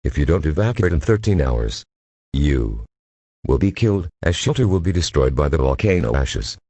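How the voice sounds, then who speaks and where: Male emergency alert announcer, synthetic, extremely low and husky, dry sound. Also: big pitch swings, moderate pace, warm tone, no echo. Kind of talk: scripted emergency broadcast narration.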